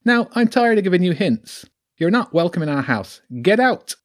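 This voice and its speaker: A man voicing a sneering male weasel leader with a high voice and a slight rural southern English twang, taunting and menacing. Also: big pitch swings, moderate pace, a little mellow.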